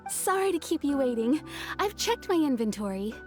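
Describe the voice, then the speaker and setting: A female tailor in a video game. She is polite and businesslike, pitched high with lively intonation. Faint music sits underneath. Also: normal speed. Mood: helpful. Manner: polite customer-service phrasing.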